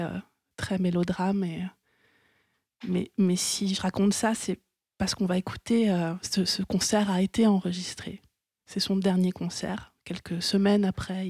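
A woman's voice: on the low side, a little mellow, no room sound, studio quality. A woman co-hosting a music radio show in French, telling stories casually with frequent fillers and restarts. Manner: chatty, laughs easily, many fillers.

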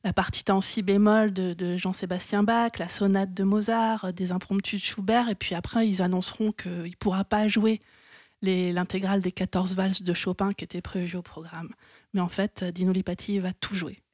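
A woman's voice: mid-range voice, steady tone, moderate pace, darkish tone, no room sound, clean studio sound. A woman co-hosting a music radio show in French, telling stories casually with frequent fillers and restarts. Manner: chatty, laughs easily, many fillers.

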